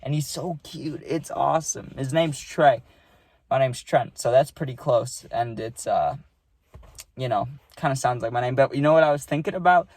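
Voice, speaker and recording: A man of twenty talking straight to camera, telling a story in a rambling, excitable way and often checking in with "right?" and "dude". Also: mid-range voice, moderate pace, no room sound. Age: 20s. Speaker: vlogger. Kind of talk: casual storytime monologue.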